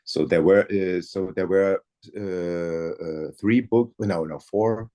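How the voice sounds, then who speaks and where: Lively older man searching for words. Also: low-pitched, takes it slowly. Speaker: audiobook narrator. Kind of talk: casual interview chat.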